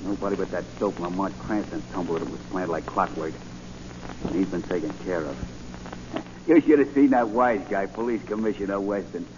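A man's voice: low-pitched, moderate pace, natural voice. An actor playing a cocky, slangy gangster henchman in 1940s radio style. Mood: gloating. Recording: noisy, muffled 1940 radio recording.

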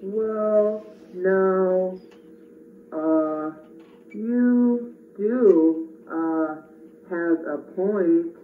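A gentle, bumbling puppet creature, played by a woman drags out every word with hesitant pauses. The sound is distant and muffled-tinny in an echoey room. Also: fairly low pitch, faint music behind. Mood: resigned. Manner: hesitant, drawn-out, many uhs and wells. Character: slow, gentle, hesitant creature hoping to help. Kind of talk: children's puppet show. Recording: echoey, tinny, distant camera, faint noise.

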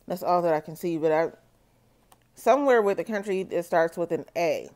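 A woman doing a solo tarot reading for her viewers, talking slowly and checking in with "okay?". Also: on the low side, animated, darkish tone. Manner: slow, measured, repeats "okay?" to viewers. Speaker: tarot reader.

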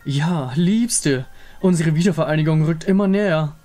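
Ein Mann spricht einen hochmütigen, bedrohlichen untoten König in einem Fantasyspiel, herrisch und pathetisch. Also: male voice, on the high side, normal speed, mellow, soft background music, close to an everyday voice. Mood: yearning. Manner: grandiose, imperious. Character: haughty menacing undead king, grandiose and lovesick.

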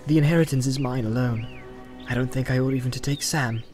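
A man voicing a thoughtful, burdened gentleman hobbit who speaks seriously and is easily irritated, in a storybook reading. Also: mid-range voice, steady tone, fast talker, soft background music, lightly acted. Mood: brooding.

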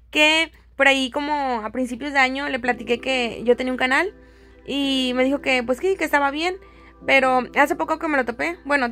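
A woman's voice: high-pitched, fairly bright tone. A woman with a Mexican accent giving a casual, chatty, friendly voice-over as a home decor vlogger.

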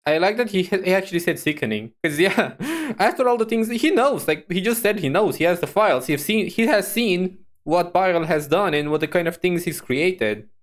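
A woman streamer giving casual, chatty reaction commentary, expressive and often repeating her phrases. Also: fairly low pitch, normal speed, few pauses.

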